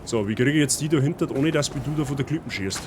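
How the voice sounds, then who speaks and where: A man's voice: mid-range voice, quick pace. A man with a Bavarian accent chatting casually with his viewers while playing a game. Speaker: streamer. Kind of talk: casual let's-play chat. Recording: noisy stream audio.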